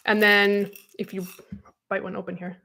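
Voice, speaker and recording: A woman's voice: mid-range voice, flat delivery, normal speed, gravelly, bright, forceful tone, a little hiss or hum. A young adult woman, podcast co-host, talking casually and rambling with lots of fillers and self-deprecating asides. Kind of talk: casual chat.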